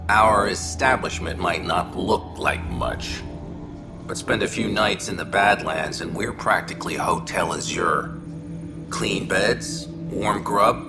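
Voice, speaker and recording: An actor playing a gruff, wry exile who runs a rough outpost inn in a science fiction video game. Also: male voice, low-pitched. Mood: wry salesmanship.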